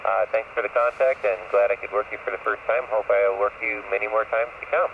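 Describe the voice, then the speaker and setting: A man's voice: fairly low pitch, fairly even intonation, quick pace, creaky. A man chatting casually as an amateur radio operator, somewhat hesitant, heard over a noisy shortwave radio transmission. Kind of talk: casual ham radio exchange. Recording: tinny, distant, noisy shortwave radio signal.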